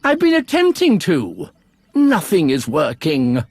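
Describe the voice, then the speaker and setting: A man's voice: fairly high pitch, wildly swooping pitch, takes it slowly, darkish tone, slightly rough, faint music behind, exaggerated voice. A man playing a fussy, bookish archaeologist full of wonder in a video game, with precise, theatrical delivery. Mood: alarmed.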